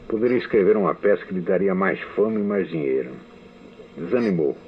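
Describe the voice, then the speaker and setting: Low-voiced man recounting memories on old radio. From Brazil. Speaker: radio novelist giving a testimony. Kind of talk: archival spoken memoir. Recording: tinny lo-fi archival radio recording.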